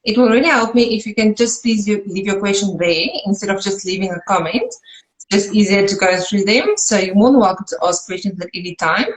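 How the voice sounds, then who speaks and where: A woman's voice: mid-range voice, a little fast. A woman hosting a casual online interview over a video call. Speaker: host. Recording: echoey room.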